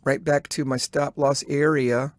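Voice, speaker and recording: A man records a trading journal voice-over in a dry, close space. He has a mid-pitched voice and thinks aloud as he follows the action. His delivery is halting and instructive, as if coaching himself and the viewer at once. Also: flat delivery, no echo. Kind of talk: live trade commentary, thinking aloud.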